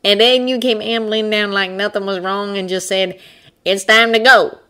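A woman's voice: medium pitch, steady tone, moderate pace, sharp tone, voice pushed up, close to an everyday voice. A woman voicing a plain-spoken, polite but argumentative Texan man, an aeronaut, in a storybook reading. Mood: puzzled, insistent.

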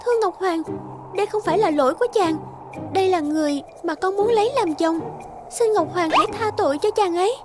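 A woman playing a gentle, anxious and loving young fairy in an animated fairy tale. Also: very high-pitched, brisk, crowd noise. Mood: pleading. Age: young adult. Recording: music behind the voice.

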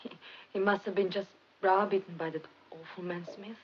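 An actress playing a devoted, earnest female scientist in a 1950s film drama, speaking emphatically with dramatic pauses. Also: medium pitch, fairly even intonation, a little hiss or hum, lightly acted. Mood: distressed. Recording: muffled 1950s film soundtrack, faint music.

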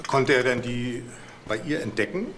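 A man's voice: medium pitch, moderate pace, noisy background, lightly acted. A man playing a terse, probing police detective in a crime drama. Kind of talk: German TV crime drama dialogue.

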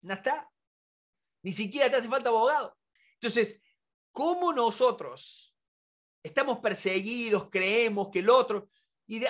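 A man in his forties with an Argentine Spanish accent gives an informal lecture to camera, emphatic and full of rhetorical questions. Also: high voice. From Córdoba, Argentina. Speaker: negotiation expert and instructor. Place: indoor room, seated at a desk.

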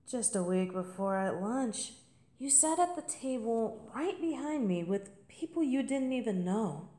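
A woman playing a confident, playful college senior in an intimate, seductive audio roleplay monologue, teasing and at times breathy. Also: lowish voice, mellow, echoey room.

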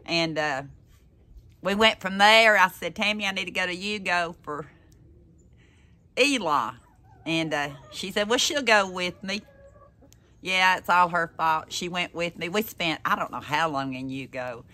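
A woman's voice: fairly low pitch, measured pace, sharp tone. A woman in her sixties with a Southern American accent, chatting casually to camera in a folksy, rambling way. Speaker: vlogger. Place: in a parked car and outdoors in a yard. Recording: noisy outdoor and in-car recording.

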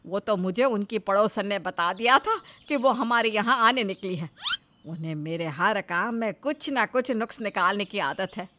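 A woman's voice: mid-range voice, normal speed, bright, forceful tone, slight background noise, natural voice. A woman voicing a fretful, nagging middle-aged mother-in-law in a cartoon family story, with theatrical delivery. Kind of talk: animated family story acting.

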